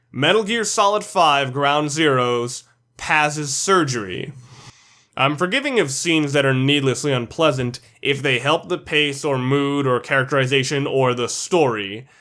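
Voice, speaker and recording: A man's voice: mid-range voice, unhurried, pushed and bright. A man reading scripted commentary for a video game ranking list, blunt and opinionated.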